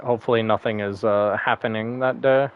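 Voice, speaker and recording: A man's voice: on the low side, fairly even intonation, a little fast, phone-quality sound, a little hiss or hum. A man streaming a game, chatting casually and rambling, laughing often and swearing now and then. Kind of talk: casual gaming stream chat.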